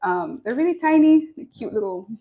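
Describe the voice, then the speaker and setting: A woman's voice: a little high, expressive, moderate pace, narrow, tinny audio. A young woman hosting a live online lesson from a small office, chatty and upbeat, reading viewer comments aloud between explaining the supplies. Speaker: online coordinator and live-stream host. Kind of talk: friendly live-stream introduction and craft tutorial. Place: small office at a bamboo factory.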